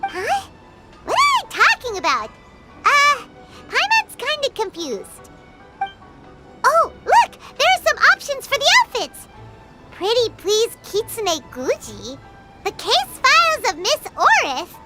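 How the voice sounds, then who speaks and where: A tiny, childlike fairy companion from an anime game. The voice is extremely high and squeaky, swinging with lively, exclaiming intonation over prominent music. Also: female voice, takes it slowly, long pauses, heavily acted. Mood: puzzled. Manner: refers to self in third person, exclaiming. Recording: music behind the voice.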